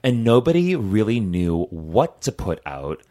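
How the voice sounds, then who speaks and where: A man's voice: on the low side, very animated, a little slow, warm tone. A man co-hosting a podcast, chatting casually about films in a jokey, conversational way. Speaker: podcast co-host. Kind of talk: casual chat reviewing films.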